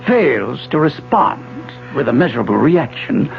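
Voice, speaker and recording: An actor playing a suave, condescending middle-aged husband and researcher in a 1970s radio drama, talking in a polished, lecturing way. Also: male voice, mid-range voice, sing-song, measured pace, creaky, soft background music, heavily acted. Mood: condescending, smug. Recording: muffled 1970s AM radio broadcast.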